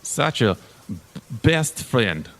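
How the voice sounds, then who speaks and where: A man acts out a taciturn Ukrainian amnesiac for a tabletop game. The speech is childlike and halting, in short bursts of broken English, with long reverb around it. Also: mid-range voice, very animated, a bit bright, voice pushed up, heavily acted. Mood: halting, earnest.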